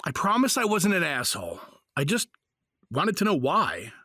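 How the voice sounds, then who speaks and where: A man's voice: fairly high pitch, wildly swooping pitch, moderate pace, sharp tone, breathy edge, no room sound, exaggerated voice. A man playing a supportive, earnest father in a fantasy audio drama, with a natural conversational delivery. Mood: awkward, explaining. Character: supportive, argumentative father held in a fantasy jail.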